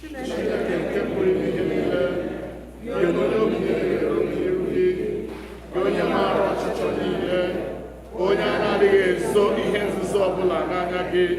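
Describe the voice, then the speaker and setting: A man reciting liturgical prayers aloud in a steady cadence. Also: high-pitched. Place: church sanctuary. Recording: distant voice in an echoey hall with loud noise.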